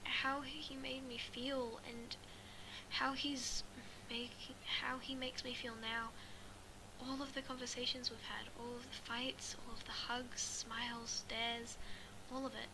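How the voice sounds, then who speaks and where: A woman reading a first-person story aloud as an amateur, in a halting way with stumbles and repeated phrases. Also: on the high side, flat delivery, background noise. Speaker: amateur fan fiction reader.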